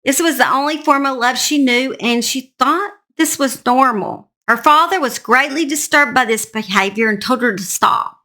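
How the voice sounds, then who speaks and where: A woman hosting a true crime show, telling a story in a measured narration with informal personal asides. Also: high voice, sharp tone. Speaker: true crime channel host.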